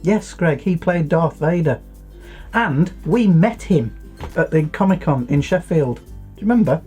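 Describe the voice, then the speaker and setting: Middle-aged British man as chat co-host, relaxed and soft-edged, a little high, easy conversational delivery. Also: unhurried, soft background music. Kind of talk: casual nostalgic two-host chat.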